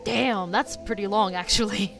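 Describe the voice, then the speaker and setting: A woman streamer reacting to a game story, talking casually in slangy, quick asides. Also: mid-range voice, creaky. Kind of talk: casual live reaction commentary. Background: game music.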